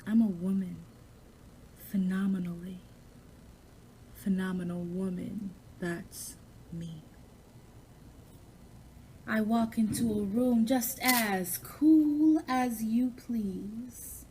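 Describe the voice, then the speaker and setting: A woman's voice: mid-range voice, takes it slowly, pauses often, dark tone, slight background noise. A woman performing poetry dramatically, with a sassy, self-assured, theatrical delivery. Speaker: poetry reader, actor.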